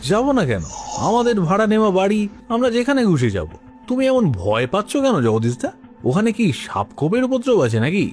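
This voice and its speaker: A man's voice: high voice, huge pitch swings, fairly quick, a little mellow, faint music behind. A man playing an assertive young city husband in a cartoon story, direct and full of questions. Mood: indignant.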